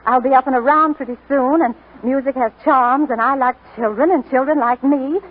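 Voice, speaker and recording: Warm convalescent woman, 1940s radio drama; higher-set husky voice, thin vintage recording. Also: moderate pace, narrow, tinny audio. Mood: gently hopeful. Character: warm, self-possessed convalescent woman who likes children.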